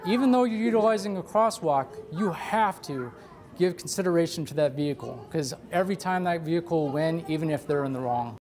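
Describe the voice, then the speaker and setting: A woman's voice: low voice, fairly quick, a little mellow. A woman speaking off the cuff in an interview as a safety spokesperson. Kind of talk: unscripted interview soundbite.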